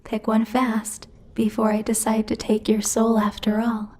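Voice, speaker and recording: A woman's voice: on the high side, completely flat delivery, a little slow, darkish tone. A woman voicing a bossy, teasing female demon who rules hell, speaking in a haughty, playfully menacing ASMR roleplay style. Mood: taunting, menacing. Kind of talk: ASMR roleplay, intimate scripted monologue to the listener.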